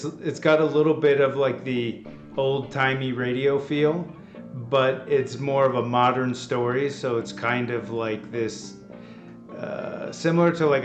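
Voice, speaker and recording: A man giving casual interview answers in a tentative, halting manner. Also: mid-range voice. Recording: echoey room, faint guitar music.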